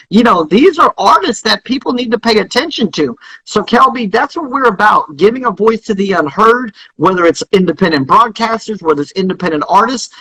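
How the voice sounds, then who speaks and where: A man's voice: high voice, bright, forceful tone, husky, low-quality recording. A middle-aged man speaking emphatically as an interview guest, urging listeners on and repeating himself for stress. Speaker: guest, music industry founder. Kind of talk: live interview, motivational business advice.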